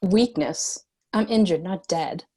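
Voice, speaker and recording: A woman's voice: on the low side, slow pace, mellow, husky. A woman playing a stubborn, prickly bounty cop in a screenplay table read, terse and combative. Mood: irritated.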